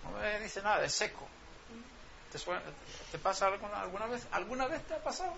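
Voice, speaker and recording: A man's voice: sits high, lively intonation, fairly quick, gravelly, noisy background. A man whose first language is Swedish, preaching a sermon and pressing his point with rhetorical questions. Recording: dull lo-fi sound, faint ambience.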